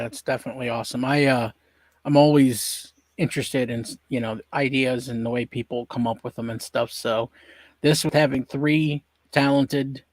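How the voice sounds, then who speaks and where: An easygoing man in his thirties hosts a relaxed interview, agreeing readily with his guest. His voice sits at a middling pitch in a dry, close recording. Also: no echo. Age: 30s. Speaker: interview host. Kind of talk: casual interview chat.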